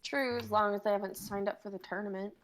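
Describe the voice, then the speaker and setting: A woman's voice: medium pitch, steady tone, fast, a little hiss or hum, natural voice. A woman playing a quirky, casual underworld guide in a dramatic script reading. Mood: breezy.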